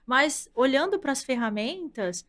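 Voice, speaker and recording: A thoughtful Brazilian woman in her thirties talks through her ideas as a guest, steadily and in a conversational way. Her voice sits a little high, and she often checks in with "né?". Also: expressive, a little slow, a bit bright. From Brazil, from the countryside. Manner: thoughtful, explanatory, frequent "né?". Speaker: content director, guest. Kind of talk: casual interview conversation.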